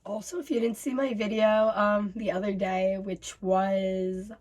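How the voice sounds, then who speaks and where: A woman in her twenties hosting a reaction vlog, voice raspy from bronchitis, chatting casually with many fillers. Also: medium pitch, flat delivery, a little slow, a little mellow. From North America. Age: 20s. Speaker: YouTube host.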